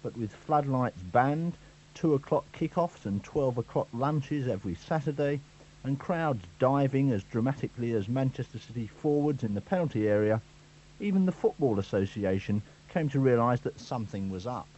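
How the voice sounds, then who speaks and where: A man's voice: medium pitch, lively intonation, moderate pace, dark tone, slight background noise. A male sports reporter reading a scripted year-in-review with a dry, wry delivery. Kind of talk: scripted narration. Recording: dull lo-fi radio recording.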